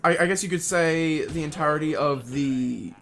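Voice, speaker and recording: A young man telling a casual, unscripted story in a rambling, halting way, often rephrasing himself as he goes. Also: on the high side, fairly bright tone, slight background noise. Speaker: online video storyteller.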